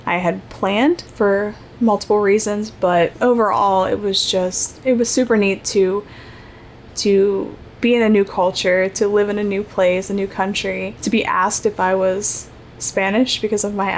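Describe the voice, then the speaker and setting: A woman's voice: medium pitch, a little hiss or hum. A young woman from Ohio hosting a travel podcast in a casual, rambling monologue with many fillers and restarts. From Ohio, United States. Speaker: podcast host.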